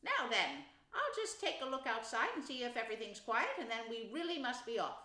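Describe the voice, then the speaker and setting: A woman's voice: on the high side, very animated, fairly quick, sharp tone, echoey room, pitched above the performer's own voice. A woman voicing a brave, good-natured male water rat in a storybook, with a cheery, emphatic and reassuring manner. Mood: brisk, alert.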